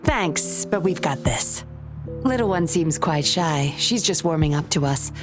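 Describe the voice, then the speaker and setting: Game role: cunning undercover officer, composed, smooth-talking, slightly low voice, clear music behind. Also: female voice, expressive, unhurried. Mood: disarming. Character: sly, composed undercover female patroller. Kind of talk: video game voice acting.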